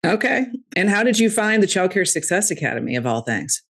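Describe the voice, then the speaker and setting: A woman's voice: medium pitch, very animated, brisk, fairly bright tone. A woman hosting a casual interview, encouraging her guests and laughing often. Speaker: podcast host.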